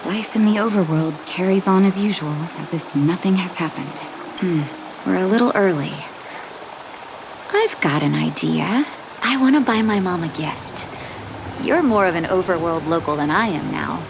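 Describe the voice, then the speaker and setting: A woman playing a gentle, caring doctor in a video game, soft-spoken and measured, with a reflective, wistful manner. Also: on the low side, creaky, noisy background. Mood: pleasant, relaxed. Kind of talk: video game dialogue voice acting.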